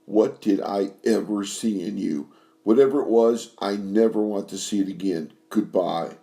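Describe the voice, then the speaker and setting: A man voicing a worn-out, furious woman singer, a diva who turns shrill and scornful. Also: normal speed, creaky, dark tone. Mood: cold.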